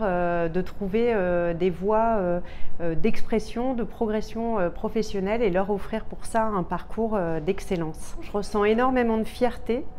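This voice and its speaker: A woman manager speaking in a corporate interview, hesitant and full of filler pauses. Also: medium pitch, darkish tone, slight background noise.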